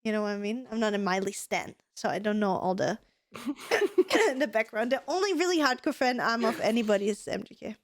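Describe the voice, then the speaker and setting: Woman podcast co-host, hoarse and talkative, bright-ish pitch, dry recording. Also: very animated, fairly quick, dry recording. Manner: chatty, clears her throat and coughs often. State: hoarse, losing her voice. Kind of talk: casual podcast chat.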